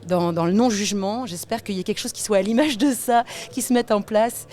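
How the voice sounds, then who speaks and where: A woman's voice: mid-range voice, brisk, mellow. A woman talking earnestly as a panelist in a roundtable discussion. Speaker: collective member and panelist.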